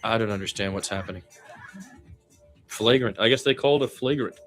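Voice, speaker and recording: A man's voice: lowish voice, a little fast. Conversational man calling basketball, music behind. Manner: conversational, opinionated asides. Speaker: amateur play-by-play basketball commentator. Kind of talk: radio-style play-by-play commentary.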